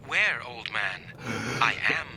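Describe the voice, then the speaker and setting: A young man's recorded self in a radio drama, cruel and coolly mocking. The delivery is theatrical, with big swings of pitch, on a clearly noisy, hissy recording. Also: very animated, slow. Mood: gloating. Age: 20s. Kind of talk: old-time radio drama acting.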